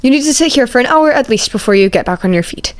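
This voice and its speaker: A woman's voice: on the high side, animated, quick pace, voice pushed up, natural voice. A woman voicing a strict, earnest young man in an audiobook, formal and emphatic as he fusses over others. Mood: brisk, concerned. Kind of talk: fan fiction audiobook character voice.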